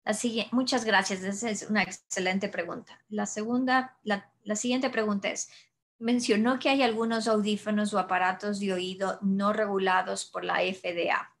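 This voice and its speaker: A woman in her thirties, an audiologist, answering audience questions in a webinar over a video call, calm and explanatory with frequent restarts. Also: medium pitch, moderate pace, creaky. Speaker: audiologist presenter. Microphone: webcam video call.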